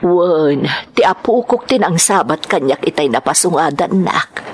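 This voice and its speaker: A woman playing a gentle, sorrowful older mother in a radio drama, with a hushed, breathy, tender delivery. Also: sits low, expressive, unhurried, a little hiss or hum. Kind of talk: sentimental radio drama acting.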